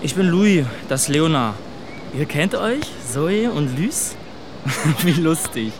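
Brash, lively teenage boy. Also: fairly high pitch, slow pace. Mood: breezy. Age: young adult. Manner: cocky, slangy. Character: brash, girl-chasing teenage boy on summer holiday. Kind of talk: naturalistic audio drama dialogue. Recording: noisy outdoor ambience with distant traffic.

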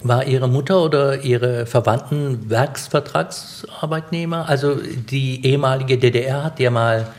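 A man's voice: mid-range voice, measured pace, hardly any pauses. Polite man co-hosting an interview. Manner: polite, steers with explanatory questions. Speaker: interview co-host.

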